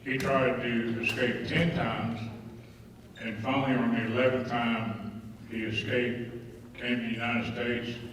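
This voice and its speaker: A man emceeing a luncheon in a folksy, halting way with many fillers, recorded from a distance in a large indoor hall. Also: medium pitch, flat delivery. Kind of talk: casual announcements to an audience. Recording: echoey hall, distant mic, faint noise.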